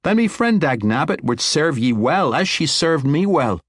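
An earnest young dwarf king, voiced by a male narrator, pitched high in a lively brogue. He speaks with steady loyalty, explaining himself plainly, in a dry storybook reading. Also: normal speed, no room sound.

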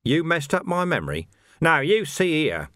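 A man voicing a gruff, impatient city watch commander in an audiobook, blunt and demanding. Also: fairly high pitch, wildly swooping pitch, normal speed, fairly bright tone, no room sound, clean studio sound, voice pushed up. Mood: indignant. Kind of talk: audiobook character dialogue.

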